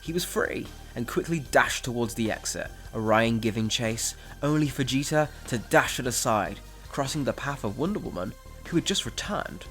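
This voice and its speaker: A man's voice: medium pitch, lively intonation, moderate pace, fairly bright tone. A man narrating a scripted dramatic story in the role of storyteller. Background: music.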